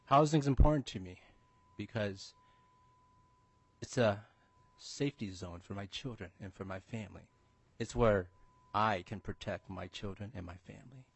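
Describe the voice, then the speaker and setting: A man's voice: fairly low pitch, moderate pace, vocal fry, long pauses, slightly rough, no echo. A man from Minnesota giving an upbeat, personal introduction at a committee hearing. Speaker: state representative.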